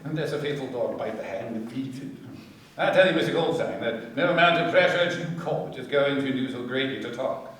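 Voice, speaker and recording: A man playing a shrewd, composed detective inspector in a radio play, speaking with brisk, measured authority. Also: medium pitch, fairly bright tone, lightly acted. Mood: measured, knowing. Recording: very echoey, distant from microphone.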